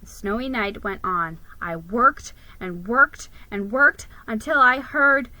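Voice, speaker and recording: A woman's voice: fairly high pitch, slow pace, pushed and bright, no room sound, poor audio. A woman giving a dramatic scripted reading of a children's story as its narrator. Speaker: storybook reader.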